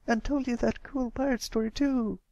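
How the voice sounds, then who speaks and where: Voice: medium pitch, steady tone, normal speed, mellow, no echo, lo-fi sound, pitched above the performer's own voice, natural voice. A reader voicing a sweet, admiring young filly in a story, with a chirpy manner. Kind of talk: acted fan fiction reading.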